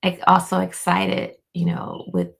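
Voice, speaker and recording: A hoarse woman, a life coach, giving an encouraging motivational talk to her viewers, repeating key lines for emphasis and calling them y'all. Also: fairly low pitch, big pitch swings, measured pace. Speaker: life coach hosting a weekly motivational show. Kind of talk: motivational pep talk to camera.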